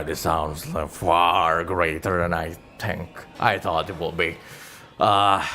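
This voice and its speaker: A man's voice: low voice, vocal fry, sharp tone, pitched below the performer's own voice, exaggerated voice. A man role-playing an aging, money-minded adventurer who mutters and rambles in mild exasperation. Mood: doubtful, grumbling. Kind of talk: improvised role-play.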